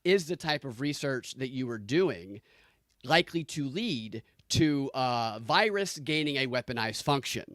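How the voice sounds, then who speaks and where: A man's voice: mid-range voice, lively intonation, unhurried, a bit bright, no echo. A man with a nasally voice hosting a casual reaction chat, blunt and sarcastic, swearing freely. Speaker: podcast host.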